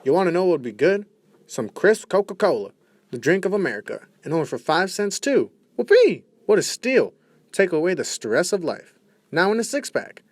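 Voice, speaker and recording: A man voicing a peppy, excitable pitchman in a 1920s radio soda commercial. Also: normal speed, no echo. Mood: excited. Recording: noisy amateur recording.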